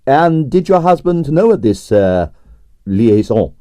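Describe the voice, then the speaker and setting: A man's voice: mid-range voice, very animated, slow, dark tone, no room sound, studio quality. A man voicing an earnest young police detective with polite, firm questioning.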